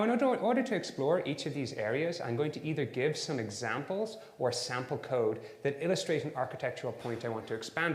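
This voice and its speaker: An Irish man in his thirties giving a technical conference talk, upbeat and explanatory, with filler words between phrases. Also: mid-range voice. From Ireland. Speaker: conference speaker. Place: conference hall. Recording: echoey conference hall.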